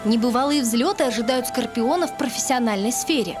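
High sing-song woman reading a horoscope voice-over, loud music under it. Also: fairly quick. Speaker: horoscope announcer.

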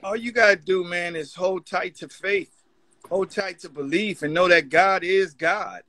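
A man's voice: high-pitched, unhurried, sharp tone. A middle-aged man giving an informal motivational talk in an emphatic, urging way. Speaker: guest mentor, magazine editor.

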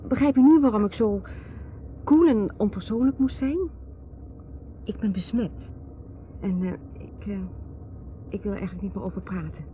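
A woman's voice: mid-range voice, normal speed, long pauses, natural voice. An actress playing a guarded, troubled society mother in a radio drama, speaking with hesitant reserve. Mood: resigned, troubled. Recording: noisy, muffled old radio drama recording.

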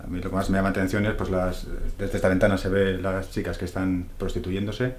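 A man giving an interview answer in a reflective, calm way. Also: low voice, fast, echoey room, slight background noise.

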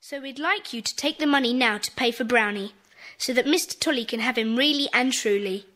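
A woman's voice: fairly high pitch, a little fast, a bit bright, dry recording, close to an everyday voice. A woman playing an earnest, polite British schoolchild in a children's audio drama.

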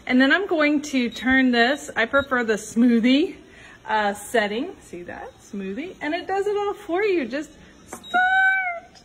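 A woman's voice: sits high, big pitch swings, slow, noisy background. A woman in her thirties, talking casually and enthusiastically while showing a home cooking recipe in her kitchen, filmed on a phone. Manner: chatty and enthusiastic, upbeat asides. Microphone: phone held close, later propped farther off.

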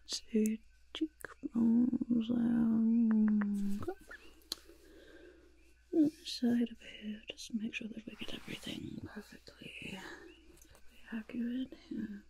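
A woman playing a sly, fussy goblin master of disguise in a hushed, breathy ASMR roleplay, speaking deliberately and close to the microphone. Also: fairly high pitch, flat delivery, a little hiss or hum. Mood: precise, hushed. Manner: slow, deliberate, refers to self in third person. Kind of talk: ASMR roleplay, soft-spoken whisper.

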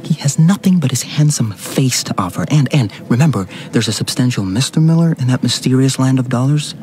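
A proper, earnest young gentleman in a radio play, acted by a man. He speaks formally and carefully at a medium pitch, with music behind him. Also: lively intonation, close to an everyday voice. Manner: formal, measured. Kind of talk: radio drama acting.

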